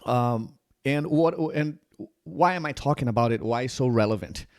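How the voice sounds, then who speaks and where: Brazilian-accented man, forties, rambling, dry close sound, mid pitch. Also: moderate pace, dry recording. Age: 40s. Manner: rambling, with many restarts and repeated "right?". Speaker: channel host. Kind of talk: casual talk to camera.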